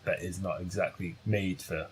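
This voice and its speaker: A man's voice: deep voice, steady tone, normal speed, slight background noise. A British man co-hosting a comedy podcast, telling rambling, sweary anecdotes in a casual way. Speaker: podcast co-host.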